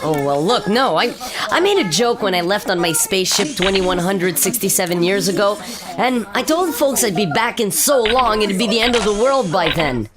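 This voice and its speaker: A woman's voice: medium pitch, expressive, moderate pace, crowd noise, voice pushed down, natural voice. A woman playing an irritated, slangy, foul-mouthed male messiah in a comedy radio play. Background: music.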